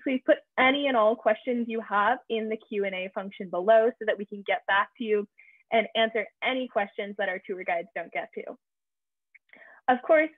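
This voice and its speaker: A woman's voice: fairly high pitch, no room sound. A young woman hosting a virtual campus tour, introducing the session in an upbeat, emphatic way over a video call. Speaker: tour host. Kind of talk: scripted event introduction. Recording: tinny phone-like video call audio.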